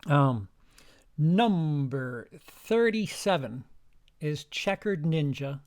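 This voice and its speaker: A man's voice: fairly high pitch, expressive, extremely slow, darkish tone. A man talking loosely through his ranked list of the year's films, restarting his sentences often and swearing casually. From lived in Denmark. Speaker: channel host ranking films. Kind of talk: unscripted one-take film ranking.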